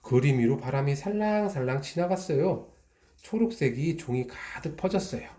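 A father reading a children's storybook aloud, in a slow, gentle, drawn-out way. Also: mid-range voice, animated. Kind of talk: storybook narration. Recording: echoey room.

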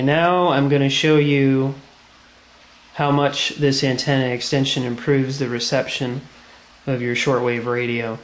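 A man giving a casual hands-on how-to demonstration, explaining plainly step by step. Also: medium pitch. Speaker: tutorial presenter. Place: indoors at a tiled tabletop. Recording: echoey room, background noise.

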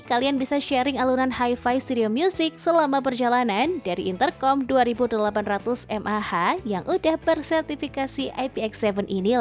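A woman reading a scripted promotional product review voice-over in a chatty, persuasive manner. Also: on the high side. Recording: music bed under studio voice-over. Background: background music.

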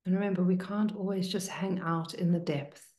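A woman meditation teacher leading a guided meditation in a hushed, soothing manner, leaving long pauses between short phrases. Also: fairly low pitch, steady tone. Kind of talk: guided meditation, slow and spoken to listeners. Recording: roomy, echoing sound.

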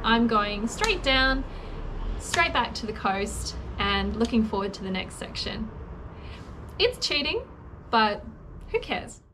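A woman's voice: a little high, sing-song, slow pace, pauses often. A middle-aged woman travel vlogger talking casually to the camera about her trip. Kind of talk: casual vlog talk to camera and narration. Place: hotel rooms and outdoor train platform. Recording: noisy on-location sound, music under narration.

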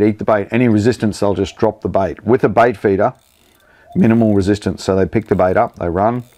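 A man's voice: lowish voice, darkish tone, no room sound. A man in his forties with an Australian accent, presenting fishing gear in a studio with upbeat, enthusiastic sales patter. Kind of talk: product demonstration. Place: indoor studio set with product table.

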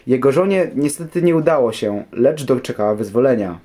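A man's voice: mid-range voice, animated, brisk, warm tone. A man giving scripted documentary narration, answering questions about history.

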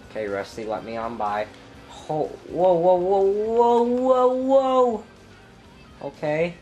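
A young man with a stuffy nose and a clogged throat, commenting casually on his own racing game and thinking aloud with ums and sudden loud exclamations. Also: high-pitched, animated, extremely slow, pauses often, highs cut off, faint music behind. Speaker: gameplay commentator. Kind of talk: casual let's-play commentary.

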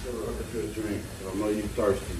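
A man's voice: on the low side, fairly even intonation, fast. A young man joking and teasing in casual banter, heard from across an apartment room. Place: small apartment, indoors. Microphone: phone camera across the room. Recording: echoey room, distant phone mic, background noise.

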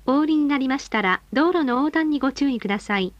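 A woman reading scripted bus stop announcements in a polite, formal and measured way. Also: high voice, animated, no room sound, lo-fi sound.

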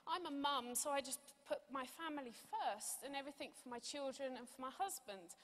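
A woman's voice: high voice, fairly quick, fairly bright tone. A woman in her forties giving an earnest, conversational testimonial speech from a stage into a handheld microphone. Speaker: award recipient giving a testimonial. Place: large auditorium stage. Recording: echoey hall sound over PA.

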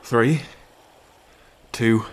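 A man playing a gentle, anxious lone fisherman in an ASMR roleplay, with a halting, reassuring manner. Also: mid-range voice. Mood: gentle, focused.

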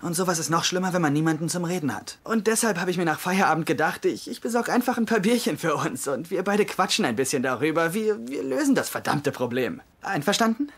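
An actor playing an earnest, insecure young doctor in a German-dubbed TV comedy-drama. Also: male voice, on the high side, lively intonation, fast, few pauses, a bit bright, slightly rough, heavily acted. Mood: earnest, pleading.

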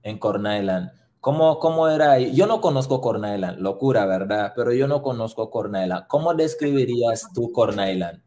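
A young man hosting a casual interview over a webcam, asking relaxed questions with English fillers mixed in. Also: mid-range voice, normal speed, few pauses. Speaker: host, interviewer. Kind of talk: casual video-call interview. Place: room indoors.

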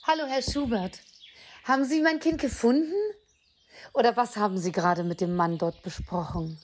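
A woman's voice: fairly high pitch, moderate pace, natural voice. An actress playing a worried, pleading mother in a radio play. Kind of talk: hobby German radio play acting. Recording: faint noise with birdsong.

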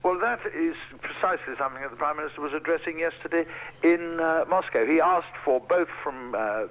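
A man giving an unscripted news report as a correspondent, in a halting, hesitant way. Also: a little high. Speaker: broadcast correspondent. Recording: muffled lo-fi radio tape.

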